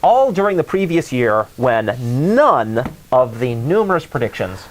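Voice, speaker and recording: A man's voice: mid-range voice, big pitch swings, a little slow, a little hiss or hum, rough recording. A man co-hosting a call-in talk show, speaking casually in a sardonic, mocking way.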